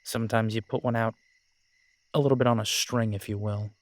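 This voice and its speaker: A man's voice: lowish voice, steady tone, fairly quick, no echo, close to an everyday voice. A man with an American accent playing an easygoing, frank werewolf and former soldier in an audio drama, talking casually. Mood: persuasive. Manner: casual, colloquial, hesitant phrasing. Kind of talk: dramatic audio roleplay acting.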